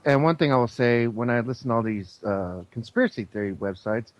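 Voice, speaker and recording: A man speaking as a guest in a conversational radio interview, halting but opinionated. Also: mid-range voice, expressive, no room sound, muffled recording. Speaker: interview guest, former air traffic controller.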